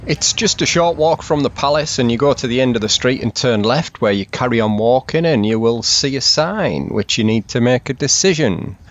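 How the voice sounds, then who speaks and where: A man from England, narrating a travel vlog voice-over in a steady, informative tour-guide way. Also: mid-range voice, normal speed, hardly any pauses, lo-fi sound.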